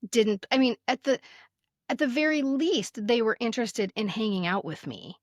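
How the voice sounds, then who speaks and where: An American woman in her fifties telling stories casually as a podcast host, expressive and emphatic, sometimes reading aloud. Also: a little high, normal speed, no echo, clean studio sound. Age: 50s. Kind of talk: casual conversational storytelling, some reading aloud.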